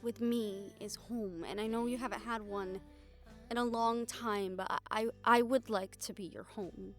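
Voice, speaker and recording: A higher-pitched young woman's voice, performed by an actress as a devoted girlfriend in an intimate audio drama. She speaks tenderly and haltingly, with wry teasing and casual swearing. Soft music plays faintly behind her. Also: steady tone. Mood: earnest, tender.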